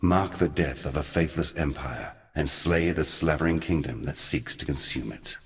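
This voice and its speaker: A man playing an ancient, forgotten god in a video game, somber and weary, speaking solemnly and deliberately in archaic, portentous phrases. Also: a bass voice, big-room sound. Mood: stern exhortation. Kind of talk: scripted video game character dialogue.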